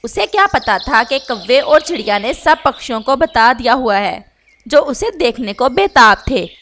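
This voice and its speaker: A woman with a very high voice serves as narrator. She delivers scripted children's story narration. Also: very animated, normal speed, fairly bright tone, a little hiss or hum.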